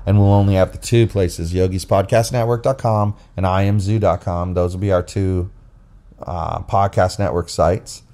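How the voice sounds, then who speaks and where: A man's voice: low-pitched, dark tone. A man co-hosting a casual, unscripted podcast, explaining things in a rambling way into a phone. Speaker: podcast co-host. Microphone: recorded into a phone app.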